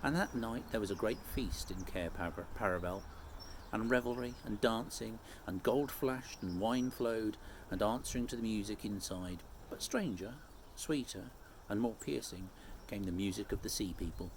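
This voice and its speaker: A man reading a children's story aloud expressively, phrase by measured phrase. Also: fairly low pitch. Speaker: storybook reader. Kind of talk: expressive scripted story narration. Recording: faint background noise.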